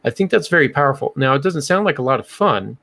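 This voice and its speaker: A man with a mid-pitched voice talks on a casual podcast. He explains things the way a teacher would, working through his thoughts aloud as he speaks. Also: lively intonation, fast, creaky, fairly bright tone, dry recording. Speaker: podcast host and writing teacher.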